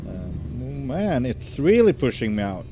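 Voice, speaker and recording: A man from Hungary chatting casually with his live chat while playing a trucking game, in a relaxed, self-deprecating way. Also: mid-range voice, measured pace. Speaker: game streamer. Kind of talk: casual live gameplay commentary, answering chat. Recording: loud background music under voice. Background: loud music.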